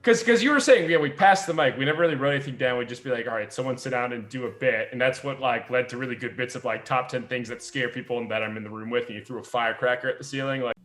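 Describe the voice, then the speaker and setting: A man's voice: mid-range voice, quick pace, few pauses, a bit bright. A young man co-hosting a podcast, chatting casually with friends in an informal way, leaning on "like". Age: young adult. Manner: informal, peppered with "like". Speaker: podcast co-host. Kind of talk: casual chat among friends. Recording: roomy, echoing space.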